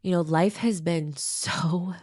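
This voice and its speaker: A woman's voice: on the low side, takes it slowly, a little mellow, no room sound, clean studio sound. A woman co-hosting a casual podcast chat with her partner, reflective and earnest, sounding nasal from a stuffed-up nose. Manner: reflective, earnest, laughs lightly. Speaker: podcast co-host.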